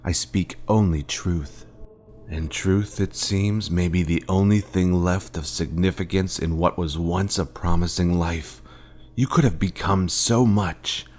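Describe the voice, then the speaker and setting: A man playing a grave, manipulative fallen Jedi master in a video game, speaking in a solemn, deliberate, grandiose way. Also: low voice, a little mellow. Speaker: game character voice actor. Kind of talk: dramatic scripted game dialogue.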